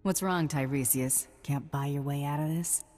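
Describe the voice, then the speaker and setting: A woman's voice: low voice, normal speed, a little mellow, big-room sound, faint music behind, close to an everyday voice. A woman playing a tough, dryly confident bounty hunter in a video game, speaking in a terse, businesslike way.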